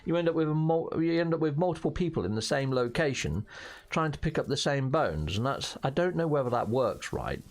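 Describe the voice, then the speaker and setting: A hoarse British man chatting through a game as its host, jokey and fond of playful slang and mild swearing. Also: a little high, lively intonation, brisk. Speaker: gaming channel host. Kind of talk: casual gameplay commentary.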